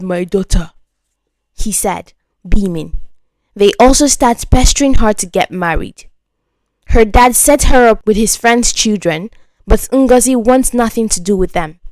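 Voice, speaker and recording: A woman's voice: mid-range voice, no echo. A woman narrating a scripted story as a storyteller.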